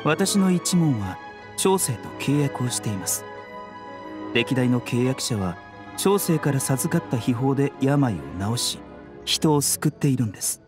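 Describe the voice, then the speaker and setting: A gentle, frail doctor in a Japanese video game. He speaks at a medium pitch with lively intonation, always composed and courteous. Faint music sits behind the voice. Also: measured pace. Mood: calm explaining. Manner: soft-spoken politeness, composed.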